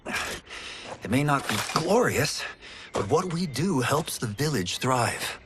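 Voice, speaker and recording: An actor playing a calm, sensible young male farmer with a steady, gently wry manner, in a video game cutscene. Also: medium pitch, takes it slowly, a little hiss or hum. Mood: earnest. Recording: game soundtrack music behind.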